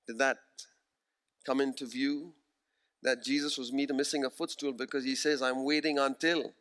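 A man in his forties preaching a sermon, earnest and emphatic, slowing down to repeat key phrases. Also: medium pitch, little pitch movement, a bit bright. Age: 40s.

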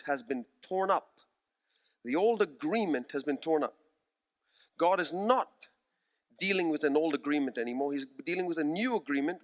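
Man, forties, preacher, earnest, emphatic, repeats key phrases, slightly high voice. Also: a bit bright. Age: 40s. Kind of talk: sermon.

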